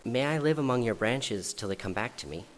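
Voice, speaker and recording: A man's voice: mid-range voice, lively intonation, brisk, lightly acted. A man reading aloud and voicing a small, lonely injured bird that politely begs for shelter. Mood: pleading. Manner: pleading, polite and hopeful. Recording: reverberant hall, faint noise.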